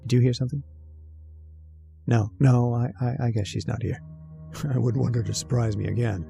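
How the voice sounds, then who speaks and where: A man's voice: mid-range voice, fairly even intonation, normal speed, creaky, over music. A man playing a nervous, henpecked, long-lived husband of a witch in a radio horror drama, given to wistful storytelling and flustered stammering. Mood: uneasy, rueful. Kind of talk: old-time radio horror narration and acting.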